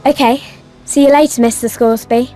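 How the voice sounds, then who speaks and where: A woman's voice: high-pitched, steady tone, brisk, dark tone, soft background music, close to an everyday voice. A woman playing a bold, eager young girl adventurer in a video game, chatty and earnest. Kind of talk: video game cutscene acting.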